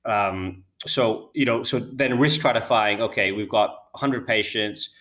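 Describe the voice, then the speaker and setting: A British man in his thirties answering interview questions about his company, talking quickly and often repeating words as he restarts. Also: fairly low pitch, a bit bright. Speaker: healthcare data company founder.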